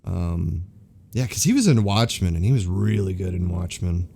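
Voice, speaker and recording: A man's voice: sits low, normal speed, creaky, dark tone, slight background noise. A man co-hosting a film podcast in casual banter, opinionated and sweary with slangy phrasing. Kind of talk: casual banter reviewing films.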